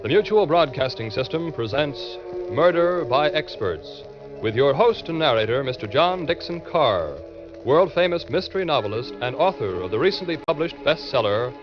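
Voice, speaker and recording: A man's voice: medium pitch, lively intonation, moderate pace. A man announcing a radio mystery show in formal, declamatory 1940s network style. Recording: dull vintage radio recording over music.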